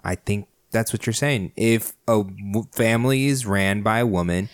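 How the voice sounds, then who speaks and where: A man's voice: lowish voice, moderate pace, no echo. A man co-hosting a podcast in casual chat, teasing and trolling with jokes. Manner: teasing, joking deadpan trolling. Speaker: podcast co-host.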